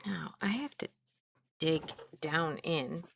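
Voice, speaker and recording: Low, slow-talking Midwestern woman. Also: expressive, vocal fry, slight background noise. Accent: Midwestern American. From American Midwest. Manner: chatty, rambling, trails off mid-sentence. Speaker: craft instructor. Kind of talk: casual crafting tutorial.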